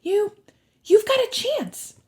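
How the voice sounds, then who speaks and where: A woman's voice: very high-pitched, big pitch swings, slow pace, pitched above the performer's own voice, full character voice. A woman voicing a brainy, bossy young girl heroine in an audiobook, urgent and insistent. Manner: insistent, matter-of-fact. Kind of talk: audiobook character voice.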